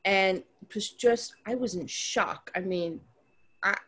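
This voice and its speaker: Woman chatting with friends, animated and a bit exasperated, ordinary pitch. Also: slow, husky. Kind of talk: casual group chat.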